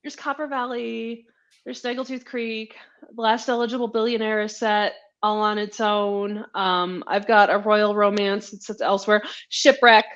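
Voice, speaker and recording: A woman author chatting casually in a live interview, telling stories in an animated, giggly way over a video call. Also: mid-range voice, moderate pace, dull audio. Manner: chatty, animated storytelling, laughs often. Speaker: romance author being interviewed. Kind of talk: casual live book chat.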